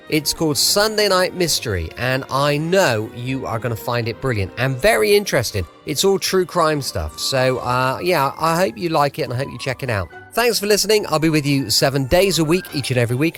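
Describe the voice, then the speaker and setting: Animated male host. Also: a little high. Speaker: radio show host. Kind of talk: chatty podcast sign-off.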